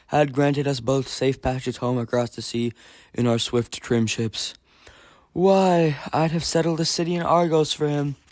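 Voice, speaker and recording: A man's voice: on the high side, a little mellow, dry recording, voice pushed up, natural voice. A man voicing a hearty, nostalgic warrior king with earnest, formal declamation in an audiobook.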